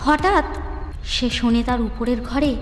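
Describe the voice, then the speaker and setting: A woman's voice: high voice, measured pace. A woman narrating a scripted horror story in a dramatic, suspenseful manner, phrase by phrase. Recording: echoey long reverb over clear music. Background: background music.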